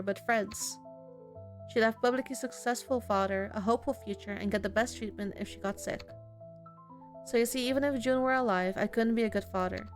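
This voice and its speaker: A woman streaming a visual novel, reading the game's dialogue aloud and reacting casually. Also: a little high.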